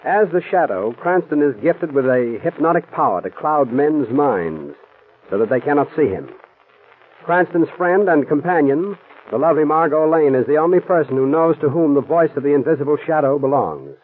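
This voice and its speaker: A man's voice: on the high side, expressive, normal speed. A man announcing a 1940s radio drama and reading its sponsor's ad in a polished, formal way. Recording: tinny old radio broadcast with faint music.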